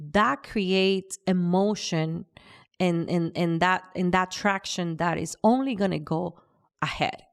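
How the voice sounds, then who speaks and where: A woman hosting a podcast, speaking earnestly about faith and sharing stories, with frequent restarts. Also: medium pitch. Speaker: podcast host. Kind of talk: casual podcast chat.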